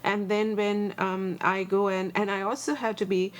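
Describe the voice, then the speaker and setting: A Sri Lankan woman in her forties with a Sri Lankan accent, a lawyer answering interview questions earnestly and thoughtfully, pausing on many fillers. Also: mid-range voice, little pitch movement. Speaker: guest, human rights lawyer and advocate.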